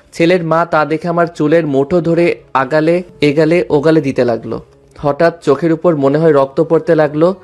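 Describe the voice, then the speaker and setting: High-voiced man narrating a story. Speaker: story narrator and lead character. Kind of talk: first-person dramatized story narration. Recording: music behind the voice.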